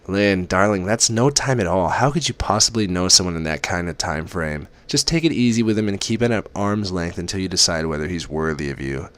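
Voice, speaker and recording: A man's voice: sits low, animated, fairly quick, hardly any pauses, a bit bright. A man reading aloud and voicing a doting, chatty middle-aged mother who fusses affectionately. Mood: advising. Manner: fussy, affectionate, lilting.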